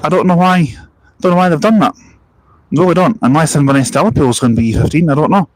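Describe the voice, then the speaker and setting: A man's voice: a little high, fast talker, no echo, poor audio. Chatty man on a live stream. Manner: rambling, chatty, spots buses and reads out comments. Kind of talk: casual live-stream chat. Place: outdoors at a bus park and ride.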